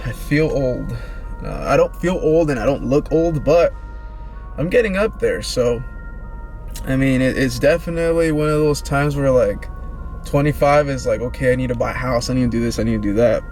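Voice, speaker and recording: A man in his twenties, vlogging in a relaxed, rambling, slangy way, answering questions about himself. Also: medium pitch, moderate pace, faint music behind. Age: 20s. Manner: laid-back, rambling, slangy. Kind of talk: casual get-to-know-me chat.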